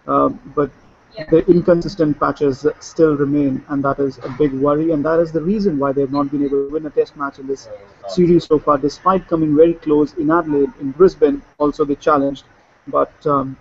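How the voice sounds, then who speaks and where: A man's voice: a little high, muffled recording, slight background noise. A man talking through a cricket match in a casual discussion, fluent but with many fillers and restarts. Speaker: cricket analyst. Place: Sydney, remote link.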